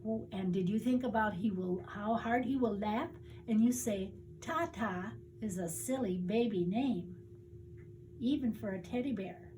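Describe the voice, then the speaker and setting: A woman's voice: mid-range voice, measured pace, mellow, a little hiss or hum, close to an everyday voice, rough recording. An older woman voicing a boy's teasing sister in a storybook, pointed and taunting. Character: teasing, needling sister of a small boy.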